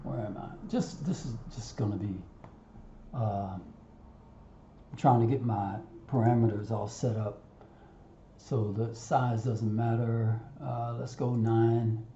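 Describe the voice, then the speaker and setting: A man giving an unscripted software tutorial over a screen recording, haltingly thinking aloud and talking to himself as he works. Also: mid-range voice, fairly even intonation. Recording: roomy, muffled, audible background noise.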